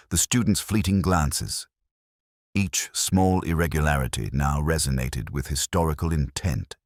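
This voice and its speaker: Guy doing a thoughtful detective telling his case, super low voice, swoops around a fair bit, solemn audio drama style. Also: no echo, clean studio sound, heavily acted. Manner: measured, literary, solemn. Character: reflective, observant male detective inspector narrating his case. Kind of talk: scripted first-person mystery narration.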